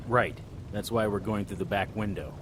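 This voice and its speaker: A man voicing a hard-boiled 1920s private eye, narrating in a laconic, deadpan noir style. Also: on the low side, normal speed, noisy background, natural voice. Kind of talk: first-person noir narration.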